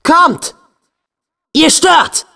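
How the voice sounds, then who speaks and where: Casting: loyal, impulsive teen boy game hero; emphatic, youthful, widely swinging intonation, unhurried pacing. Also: extremely high pitch, vocal fry, heavily acted. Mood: urgent, angry. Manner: youthful, emphatic, expressive delivery. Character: earnest, hot-headed teenage boy hero with a loyal heart. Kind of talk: dubbed video game acting.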